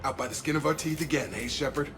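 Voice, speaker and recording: An actor playing a loyal, easygoing soldier in a video game, speaking casually like an old comrade. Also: male voice, fairly high pitch, quick pace, loud music behind the voice, natural voice. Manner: casual, familiar. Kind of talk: video game cutscene acting. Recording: clear ambient noise behind.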